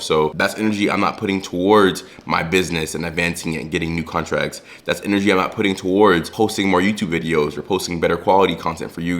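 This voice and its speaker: A man's voice: low voice, a bit bright. A man in his twenties talking casually and earnestly to his viewers, often calling them guys. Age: 20s. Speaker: vlogger. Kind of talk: casual direct-to-camera talk. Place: Los Angeles.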